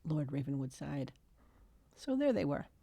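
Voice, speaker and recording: A woman's voice: low-pitched, sing-song, measured pace, vocal fry, mellow, husky, dry recording. A woman author reading her own fiction as scripted storybook narration.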